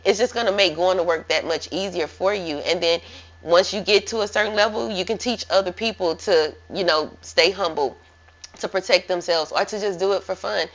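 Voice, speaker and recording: A woman's voice: lowish voice, moderate pace. A woman hosting a casual interview, upbeat and encouraging, often saying "like". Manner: upbeat, encouraging, says like a lot. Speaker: interview host. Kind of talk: casual chat. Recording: muffled lo-fi audio.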